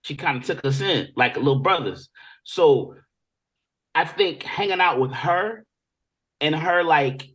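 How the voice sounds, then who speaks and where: A man's voice: on the high side, animated, measured pace, long pauses, a bit bright, husky, poor audio. An American man from Virginia, speaking casually and conversationally as an interview guest telling stories. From Virginia Beach, Virginia, United States. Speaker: interview guest, music industry veteran.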